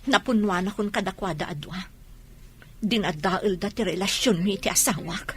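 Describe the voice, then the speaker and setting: A woman's voice: mid-range voice, normal speed, a bit bright, slightly rough. A woman playing a proud, hurt and firm widow in a Filipino radio comedy drama, with a Filipino accent. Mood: quietly bitter.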